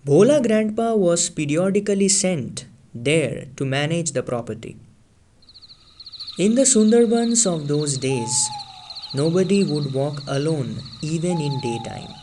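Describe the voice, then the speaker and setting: A man reads a storybook tale aloud, unhurried and deliberate. His voice sits a little high and drops lower for suspense. Crickets and insects are clearly heard behind him. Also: slight background noise. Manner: slow, deliberate and expressive, sinking low for tense moments. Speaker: story reader. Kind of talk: storybook read-aloud narration.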